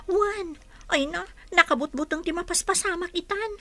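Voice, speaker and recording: A woman's voice: very high-pitched, moderate pace, sharp tone, no echo, faint music behind. A woman playing a concerned, chatty young friend in a radio drama, with an Ilocano accent.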